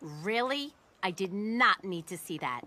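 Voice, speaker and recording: A woman's voice: medium pitch, expressive, measured pace, sharp tone, a little hiss or hum. A woman voicing a haughty, sassy teenage monster girl in a cartoon, snippy and dismissive, with music behind her. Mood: disgusted. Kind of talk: animated cartoon acting.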